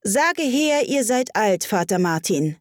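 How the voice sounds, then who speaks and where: A woman voicing a curt, stern storybook caterpillar in a languid, slow-drawling manner. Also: medium pitch, sing-song, no echo, studio quality, pitched above the performer's own voice. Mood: curt, commanding. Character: curt, scornful, sluggish hookah-smoking caterpillar.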